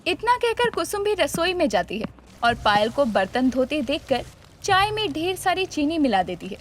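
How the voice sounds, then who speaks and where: A woman's voice: sits high, sing-song, moderate pace, a little hiss or hum. A woman narrating a scripted moral story as its storyteller.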